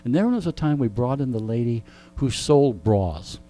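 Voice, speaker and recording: Animated man telling comic stories, hum behind. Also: medium pitch, normal speed. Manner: jokey, deadpan storytelling with rhetorical repetition. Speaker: radio host being interviewed. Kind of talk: animated comic anecdotes in conversation.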